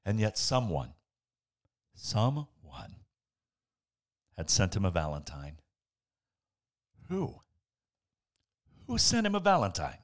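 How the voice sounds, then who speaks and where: A man reading a bedtime story aloud as a podcast host, with playful dramatic pauses and casual asides. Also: fairly low pitch, huge pitch swings.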